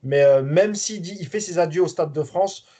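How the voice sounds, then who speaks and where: Man, panelist, mellow tone, slightly high voice, measured. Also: breathy edge. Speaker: podcast panelist. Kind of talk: casual chat.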